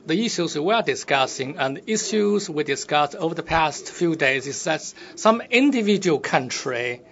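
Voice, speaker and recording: Guy doing live interpretation, slightly high voice, lots of uhs, dull muffled feed. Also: expressive, fairly bright tone, slight background noise. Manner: halting, frequent fillers. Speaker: simultaneous interpreter.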